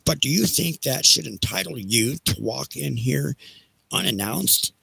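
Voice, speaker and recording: A man playing a pompous, irritable railroad company president with a raspy, gravelly voice and a haughty, scolding manner in an amateur audio play. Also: mid-range voice, expressive, measured pace, sharp tone, no echo, full character voice, low-quality recording. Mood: haughty, irritated.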